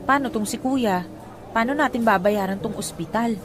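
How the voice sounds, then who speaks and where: Actress as high-voiced, defiant younger sister. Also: female voice, moderate pace, close to an everyday voice. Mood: worried. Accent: Filipino. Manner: combative, sarcastic, talking back. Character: defiant, proud jobless younger sister living with relatives. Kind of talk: Tagalog radio drama acting.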